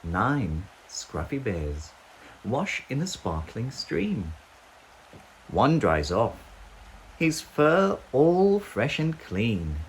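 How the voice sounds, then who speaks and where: A man reading a children's picture book aloud as narrator, with expressive sing-song, deliberate phrasing. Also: medium pitch, rough recording. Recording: faint background noise with sound effects.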